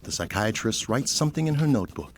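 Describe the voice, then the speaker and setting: A man's voice: mid-range voice, expressive, fast talker, background noise. A man reading scripted narration for an audio drama.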